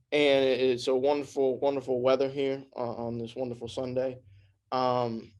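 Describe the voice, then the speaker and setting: A man's voice: mid-range voice, a little mellow. A young man giving an informal, earnest spiritual talk, repeating phrases and restarting often. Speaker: trance channel and speaker. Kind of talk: informal sermon.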